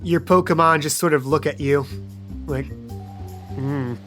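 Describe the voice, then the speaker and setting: A man's voice: a little high, fairly even intonation, a little slow, a bit bright, soft background music. A man narrating an improvised tabletop game as game master, casual and playful in manner.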